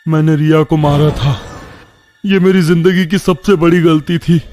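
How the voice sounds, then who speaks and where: A man's voice: a little high, fairly even intonation, measured pace, darkish tone, soft background music, pitched above the performer's own voice, natural voice. A man voicing a frightened, guilty young man in a cartoon horror story, trembling with remorse as he speaks. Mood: fearful confessing.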